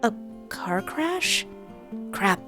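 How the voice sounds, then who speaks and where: A woman's voice: mid-range voice, big pitch swings, extremely slow, bright, forceful tone, soft background music. Playful woman reading a visual novel. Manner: playful, laughing asides. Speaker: game streamer.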